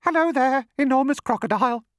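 A man's voice: very high voice, a little fast, no echo, clean studio sound, voice pushed up. A man voicing a friendly, innocent little bird in a children's storybook, lilting and singing out the words politely. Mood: cheery, curious.

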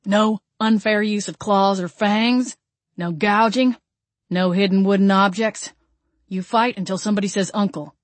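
A woman's voice: mid-range voice, fairly even intonation, measured pace, no echo, natural voice. A woman voicing a roguish, big-mouthed male vampire schemer in an audiobook story. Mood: refereeing.

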